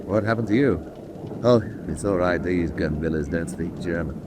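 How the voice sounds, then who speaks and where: Man acting a low-voiced, chatty army major. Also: normal speed, natural voice. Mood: affable, probing. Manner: breezy, chatty, probing. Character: affable, talkative male German army major, fellow prisoner. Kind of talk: vintage-style radio drama acting. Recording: noisy track with rain-like rumble.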